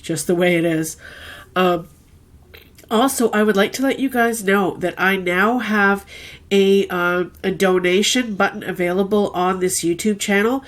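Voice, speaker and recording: A woman's voice: medium pitch, measured pace. A woman with a stuffed-up, nasal voice from a head cold, chatting casually through a weekly tarot reading and often checking in with "okay?". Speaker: tarot reader.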